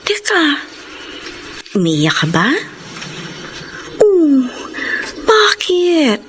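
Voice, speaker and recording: An actress playing a kind, soothing aunt in a Filipino radio drama, gentle and reassuring. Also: female voice, sits high, wildly swooping pitch, very slow, slight background noise, full character voice. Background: music.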